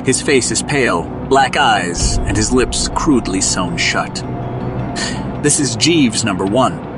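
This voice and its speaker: Male dramatic narrator over loud music. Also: on the low side, animated, slow pace.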